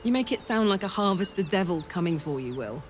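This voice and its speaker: A woman's voice: fairly low pitch, animated, brisk, soft background music, close to an everyday voice. An actress with an English accent playing a brash, blunt but friendly warrior woman in a fantasy game, earthy and hearty. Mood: friendly, relieved. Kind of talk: video game character acting.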